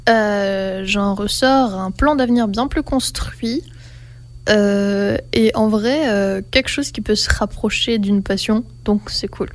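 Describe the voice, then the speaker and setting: A woman's voice: medium pitch, slow. A woman of twenty-three co-hosting a radio show, asking trainees questions and reading a presentation. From France. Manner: asks questions, reads a presentation.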